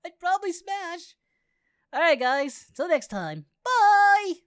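A performer voicing an excitable, boyish cartoon anime hero who comments in exclamations and flustered repeats. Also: extremely high pitch, slow, no echo, studio quality, exaggerated voice. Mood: amused, stunned. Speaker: host reacting to animations. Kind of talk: reaction video commentary in character.

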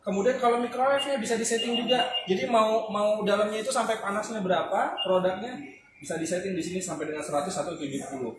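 A young man giving a casual, animated product demo in a small kitchen showroom, filmed on a phone camera a few steps away. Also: high voice, fast, noisy background. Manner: chatty, animated, gestures while explaining. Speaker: product reviewer. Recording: echoey room with loud music.

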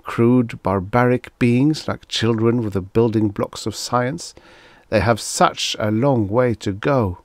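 A man's voice: mid-range voice, slow pace, no echo, natural voice. A man voicing a stern, dutiful male wind creature with a stiff, stubborn, scolding manner in a narrated story. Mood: gruffly consoling.

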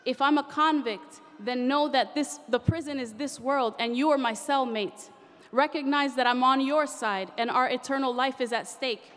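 Young woman, high voice, impassioned rhyming spoken word poet at a podium mic, big echoing convention hall. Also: normal speed, a bit bright. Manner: rhythmic, impassioned, rhyming delivery. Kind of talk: performed spoken word poetry. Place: large convention hall stage. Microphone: podium microphone.

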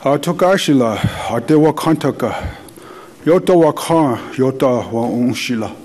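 A man whose first language is Dakota, leading a slow, measured, solemn prayer as an elder in a conference hall. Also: mid-range voice, lively intonation, darkish tone. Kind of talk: spoken prayer.

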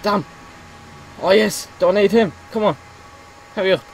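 A man commentating casually on his own gameplay, slightly hoarse as he is losing his voice, muttering reactions as he plays. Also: sits high, a little slow, slight background noise. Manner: chatty, mutters reactions while playing. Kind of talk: casual let's-play commentary.

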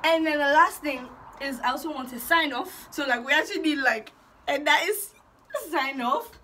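A young woman from Ghana chatting casually and excitedly in an intro vlog, giggly and animated, recorded in a small bedroom. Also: high voice, moderate pace. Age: young adult. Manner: bubbly, giggly, animated, gesturing. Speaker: YouTube vlogger.

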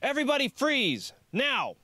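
An audiobook's armed woman intruder, forceful and in command, put on by a male narrator. She shouts orders at a strongly raised pitch. The recording is dry. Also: huge pitch swings, normal speed, dry recording, heavily acted.

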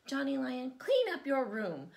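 A woman's voice: high-pitched, huge pitch swings, measured pace, gravelly, a little mellow, voice pushed up, full character voice. A woman voicing a firm, scolding mother lion in a storybook.

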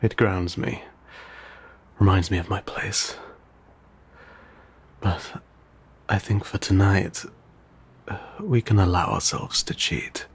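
A man playing a gentle, courteous old vampire in an intimate audio roleplay, speaking formally in hushed, soothing, measured tones. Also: low-pitched, steady tone, creaky, slightly rough, exaggerated voice. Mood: grateful, courteous. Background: ambient sound effects.

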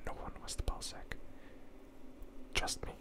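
A young man, an ASMR creator, rambling and crude in a whisper at home. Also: a little slow.

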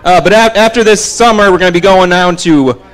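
Chatty high-voiced young man on stage. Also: a little fast. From Syracuse, New York, USA. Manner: chatty, joking, rambling announcements. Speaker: band member. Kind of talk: casual stage banter. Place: outdoor covered stage. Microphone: stage vocal mic.

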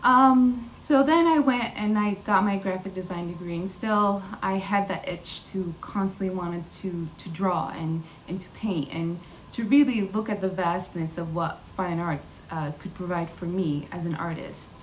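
A woman's voice: lowish voice, a little slow, a little hiss or hum. A young woman giving an earnest personal talk from a podium, with frequent fillers and restarts. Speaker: featured artist speaker. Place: large indoor hall with glass wall. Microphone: podium mic. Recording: distant room mic, faint hall ambience.

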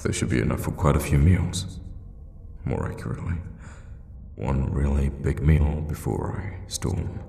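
A man voicing a sadistic, teasing male vampire captor in a hushed, intimate ASMR roleplay, with a deep, gravelly half-whisper. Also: heavily acted. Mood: teasing, soothing, sinister. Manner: slow, hushed, menacingly tender with pet names. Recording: long reverb, faint background noise.

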